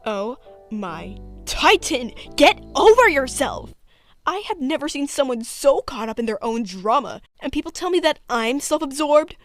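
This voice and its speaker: A woman's voice: high voice, big pitch swings, a little slow, faint music behind. Woman acting a brash, snarky teenage witch girl. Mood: scornful, heated. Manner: snarky, blunt, exasperated. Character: brash, sarcastic athletic three-eyed teenage witch girl. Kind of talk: comic dub acting.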